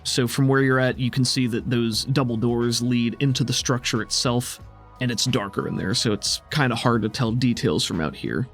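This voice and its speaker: A man's voice: medium pitch, moderate pace, soft background music. A man running a tabletop game, improvising descriptive narration in a conversational way. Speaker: game master.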